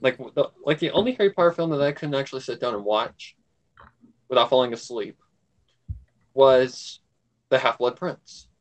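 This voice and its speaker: A man's voice: medium pitch, normal speed, long pauses. Man chatting casually, blunt and grumbling. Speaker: livestream co-host. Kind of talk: casual chat.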